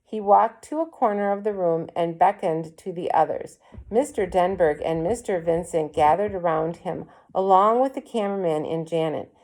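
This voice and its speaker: Mellow woman reading a children's book aloud. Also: lowish voice, normal speed, rough recording. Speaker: read-aloud storyteller. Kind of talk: expressive children's book narration.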